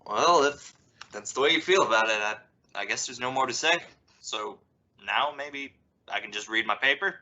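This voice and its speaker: Wry, exasperated father for radio comedy male actor; hesitant, stammering, forceful voice with lively swings. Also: medium pitch, normal speed. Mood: wry, resigned. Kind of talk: old-time radio comedy acting. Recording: dull, lo-fi radio-play audio.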